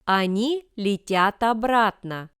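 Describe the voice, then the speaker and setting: A woman reading scripted example sentences for language learners with careful, deliberate enunciation. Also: medium pitch, expressive, a bit bright, smooth, no room sound, studio quality. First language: Russian. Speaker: language lesson reader.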